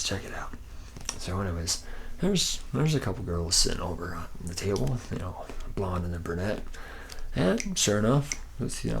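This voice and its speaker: A man acts out a tipsy, easygoing factory-worker ladies' man with a very low voice full of gravelly fry. He rambles in slack, boastful slang, doubling back on himself in a soft ASMR roleplay, with faint hiss behind him. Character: laid-back drunken womanizing male robot factory worker. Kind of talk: ASMR roleplay, soft improvised-sounding monologue.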